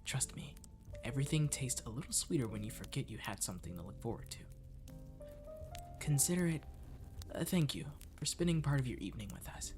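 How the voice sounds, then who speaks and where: A man as a sweet, animated catboy waiter. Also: medium pitch, a little fast, over music, natural voice. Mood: sweet, flirty. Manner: courtly, coaxing, gently playful. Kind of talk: flirty comfort ASMR roleplay.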